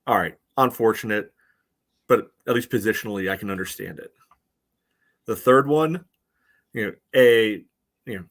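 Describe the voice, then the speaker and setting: Lower-pitched American man chatting sports. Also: moderate pace, long pauses, a bit bright. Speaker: soccer podcast co-host. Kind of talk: casual sports chat, match analysis.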